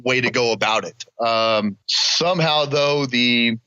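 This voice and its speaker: A man's voice: medium pitch, unhurried, pushed and bright, smooth, no room sound. A man from Missouri, a podcast guest, chatting loosely about his products with casual swearing. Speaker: guest, business owner. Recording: dull dry lo-fi sound.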